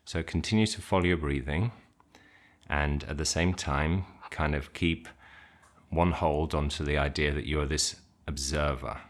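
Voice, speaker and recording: A man with an extremely low voice guides a meditation. He speaks slowly, with plenty of space in his delivery. His phrasing is informal and a little hesitant, as he offers simple, gentle instructions.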